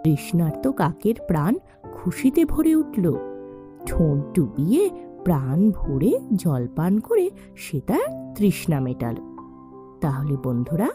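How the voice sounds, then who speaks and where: A woman narrates children's fables with a high, very animated voice that swings widely in pitch. She takes her time, telling each tale expressively, with faint music behind her.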